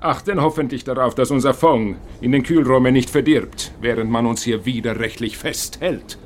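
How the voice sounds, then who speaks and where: An actor playing an evasive, stubborn ship's first officer with a Scandinavian accent and clipped, broken grammar in a radio drama. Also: male voice, medium pitch, normal speed, faint music behind, natural voice. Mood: evasive, defensive.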